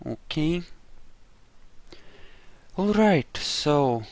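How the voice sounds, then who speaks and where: A man's voice: fairly high pitch, expressive, drawn-out words, a little mellow, no echo. A man giving casual commentary on a roleplaying game playthrough, rambling as he thinks aloud, with a dry, wry sarcasm. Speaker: gamer narrating a roleplay playthrough.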